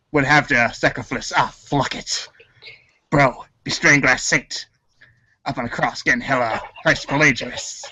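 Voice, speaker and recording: A man's voice: mid-range voice, pushed and bright, slightly rough, no room sound, poor audio. A man acting in a comic reader's theater show, goofing around and stumbling through his lines with ad-libs. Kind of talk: comic table read with ad-libs.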